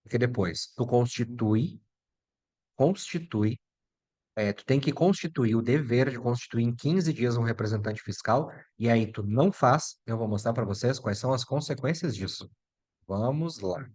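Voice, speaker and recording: Brazilian man, middle-aged, mid pitch; chatty, blunt lawyer explaining rules to viewers. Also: lively intonation, moderate pace, a little mellow, breathy edge. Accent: Brazilian Portuguese. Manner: chatty and direct, addresses viewer as "tu" and "meu filho". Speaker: immigration lawyer. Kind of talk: casual explainer video.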